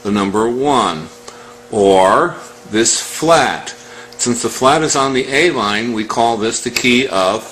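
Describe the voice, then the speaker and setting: A man teaches music in a measured, instructional way. His voice sits at a middling pitch and he speaks extremely slowly, spelling out each step. There is faint noise behind him. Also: fairly bright tone, poor audio. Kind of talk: step-by-step music lesson, calling out scale numbers.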